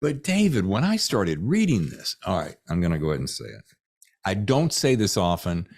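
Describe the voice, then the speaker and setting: Deep-voiced, animated male podcast host. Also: moderate pace. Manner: effusive, showy, emphatic. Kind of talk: enthusiastic conversational interviewing.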